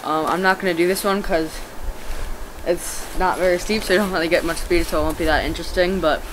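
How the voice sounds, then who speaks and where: A young man talks casually to his camera outdoors, rambling and filling pauses with um and like. His voice sits fairly high. The audio is noisy, picked up by the camera's own mic outside. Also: fairly even intonation. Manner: rambling with many ums and likes. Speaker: vlogger. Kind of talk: casual outdoor vlog narration. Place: snowy backyard hillside outdoors. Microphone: camera mic, handheld or far camera.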